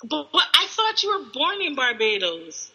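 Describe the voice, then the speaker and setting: A young woman co-hosting a podcast over a video call, excitable and rambling, often giggling. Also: sits high, normal speed, poor audio. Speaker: podcast co-host. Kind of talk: casual chat.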